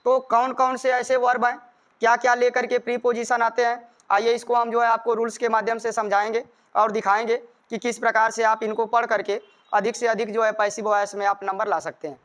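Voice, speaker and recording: High-pitched Indian man teaching. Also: level tone, fairly quick, a bit bright, clean tone. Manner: repetitive, step-by-step explaining. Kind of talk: grammar lesson, explaining rules with examples.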